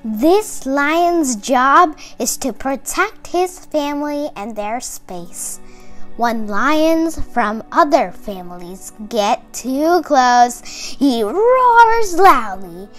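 A young girl reads a children's book aloud in a high, very animated voice. She phrases carefully and takes her time, stressing key words. Soft music plays behind her. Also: drawn-out words. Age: child, about 8 to 11. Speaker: young presenter reading a picture book aloud. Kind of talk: expressive read-aloud for kids.

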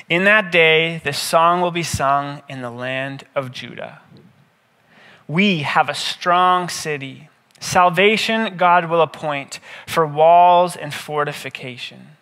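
A man in his thirties, a pastor giving a measured, scripted sermon with deliberate phrasing. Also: on the high side, sharp tone. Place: indoor stage, seated at a table. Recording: reverberant hall.

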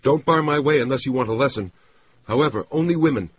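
A man's voice: medium pitch, slight background noise, pitched above the performer's own voice, lightly acted. A man voicing a distraught, dazed woman who speaks in disjointed riddles, in a dramatic audiobook reading. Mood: eerily detached. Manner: slow, painstaking, disjointed speech.

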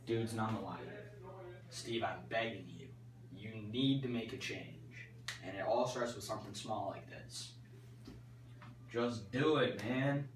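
Man acting a casual high schooler in echoey halls. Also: natural voice. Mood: coaxing. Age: young adult. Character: self-absorbed high school student torn between bickering inner voices. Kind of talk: amateur student comedy skit acting. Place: school stairwell, hallway and gym. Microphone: camera mic at a distance. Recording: echoey school halls, distant mic, loud room noise.

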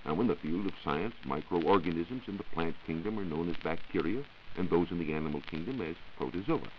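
A man's voice: low-pitched, animated, a little fast. A man, a 1940s radio science reporter, giving scripted explanations in formal wording. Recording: dull, noisy 1940s radio recording.

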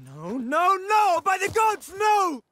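High-voiced, frightened peasant man. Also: wildly swooping pitch, slow, bright, forceful tone, shouting, heavily acted. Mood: terrified pleading. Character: frightened, pleading male peasant. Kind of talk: video game dialogue acting.

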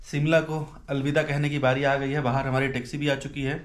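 A man's voice: medium pitch, little pitch movement, brisk. A man talking casually to viewers as a travel vlogger, informal and colloquial. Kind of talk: casual vlog chat to camera.

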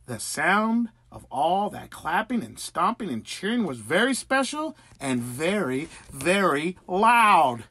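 A male children's narrator with a high, slightly husky voice that swings widely in pitch. He reads picture-book prose theatrically and takes his time over each phrase. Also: very animated, bright, forceful tone, dry recording. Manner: theatrical, exaggerated, deliberate delivery. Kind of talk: animated scripted picture-book reading.

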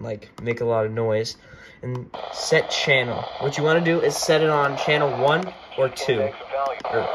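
A man giving a casual hands-on tech tutorial in a hesitant, halting way, often repeating himself, recorded indoors at a desk. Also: medium pitch. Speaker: tutorial maker. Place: indoor room at a wooden desk. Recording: noticeable room background noise.

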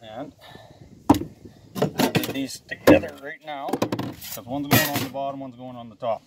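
Folksy man talking over loud work noise. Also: medium pitch, steady tone, measured pace. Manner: folksy, wryly joking, muttering asides to himself. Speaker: host of a do-it-yourself repair vlog. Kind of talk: casual hands-on demonstration, chatting to viewers while working.

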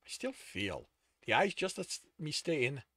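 A man's voice: fairly high pitch, sing-song, a little slow, fairly bright tone, no room sound, clean studio sound, voice pushed up, exaggerated voice. A man reading as a stoic, emotionally numb young man who insists in a restrained, polite way. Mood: calm, resigned.

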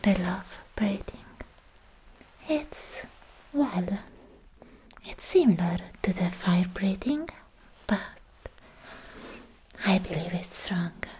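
A woman acts out a sweet young anime demon slayer girl who is hopelessly in love. Her voice is a breathy, intimate whisper, delivered extremely slowly in an affectionate ASMR roleplay style. She is right up at the microphone, with a faint hiss in the recording. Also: medium pitch, lively intonation, low-quality recording. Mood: shy, loving. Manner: slow, hushed, affectionate personal attention. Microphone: very close microphone.

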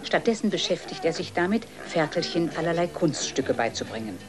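Female narrator, low register, measured storytelling for a children's series, music underneath. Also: big pitch swings.

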